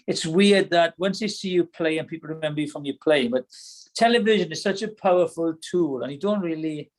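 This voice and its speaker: This is a middle-aged man with a Welsh accent and a voice pitched a little high. He speaks as a podcast guest in relaxed, reflective chat. He often hesitates and restarts mid-sentence. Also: brisk. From Wales.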